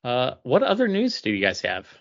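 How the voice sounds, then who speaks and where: A man with a mid-range, animated voice chats casually as a podcast co-host. He chimes in playfully with short questions and remarks. The audio is somewhat lo-fi. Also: medium pitch, normal speed. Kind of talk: casual chat.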